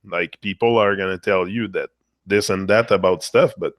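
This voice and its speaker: A man who is losing his voice, hosting a casual spiritual monologue and talking in a rambling, halting way with frequent fillers and repeated phrases. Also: sits low, fairly even intonation, a bit bright, no room sound. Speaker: motivational channel host.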